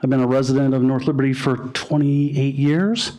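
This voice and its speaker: A resident speaking at a public hearing, giving a polite, brisk introduction of himself. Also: male voice, medium pitch, little pitch movement, warm tone. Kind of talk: public comment.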